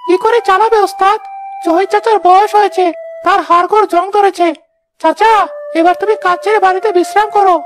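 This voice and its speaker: A woman's voice: extremely high pitch, steady tone, brisk, noisy background. A woman voicing a sly, cheeky young male village workhand who sucks up to his boss in a cartoon tale. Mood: mocking. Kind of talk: Bengali cartoon fairy-tale voice acting. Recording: music behind the voice.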